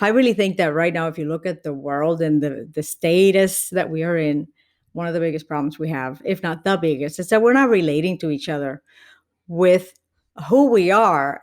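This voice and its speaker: Joking Puerto Rican woman comedian. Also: on the low side. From Puerto Rico. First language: Spanish. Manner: animated, joking, quick asides. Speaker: guest comedian. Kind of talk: casual podcast interview.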